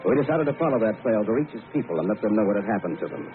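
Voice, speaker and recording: An actor playing a steady, practical adventurer who recounts an eerie journey in old-time radio drama, earnest and deliberate. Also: male voice, fairly low pitch, lightly acted. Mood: grave, uneasy. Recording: scratchy, noisy, narrow-band vintage radio.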